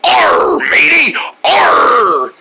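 Performer putting on a hammy pirate captain, loud and bossy, sharp bright voice, animated pitch, slightly noisy recording. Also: extremely slow, vocal fry, breathy edge, heavily acted. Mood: boisterous. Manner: shouting pirate slang, growled arrs.

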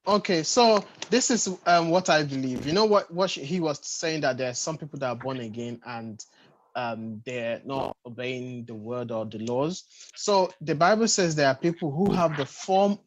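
Male panelist, thirties, raspy and dry-sounding, earnest explainer who drives points home by repetition. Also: on the high side, moderate pace, no room sound, poor audio. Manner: explanatory, repeats short phrases for emphasis. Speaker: panelist at a church youth congress. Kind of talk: earnest, unscripted teaching in a panel discussion. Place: home room with bookshelves, video call.

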